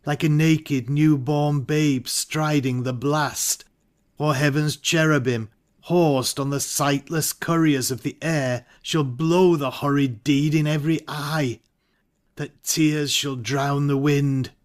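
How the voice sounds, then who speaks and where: A man playing a hesitant, ambitious nobleman in a Shakespearean audio drama, speaking verse in a measured way. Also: on the high side, steady tone. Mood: brooding, torn.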